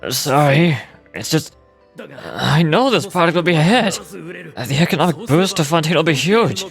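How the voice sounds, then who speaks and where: Pompous scheming merchant villain over music. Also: male voice, fairly high pitch, lively intonation, a little slow, gravelly. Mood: pleading, eager. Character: scheming, pompous male merchant villain, desperate and self-serving. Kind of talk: video game voice acting.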